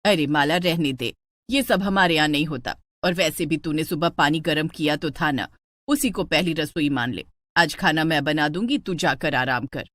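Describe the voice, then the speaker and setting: A woman's voice: low-pitched, moderate pace, fairly bright tone, no room sound, voice pushed down. A woman voicing a stingy, fussy middle-aged mother-in-law in a story, bossy and coaxing. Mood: coaxing, breezy.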